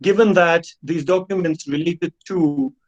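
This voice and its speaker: A man's voice: a little high, a little slow. Hesitant man with a muffled sound. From Belarus. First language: Russian. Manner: hesitant, many fillers and repeated words. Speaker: guest lecturer. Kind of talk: online academic lecture.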